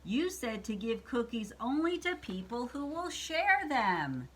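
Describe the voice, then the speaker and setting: A woman's voice: on the high side, sing-song, unhurried, fairly bright tone, voice pushed up, exaggerated voice. A woman voicing a cranky, scheming, bossy witch in a storybook read-aloud, impatient in manner. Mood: threatening. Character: cranky, scheming female witch craving cookies.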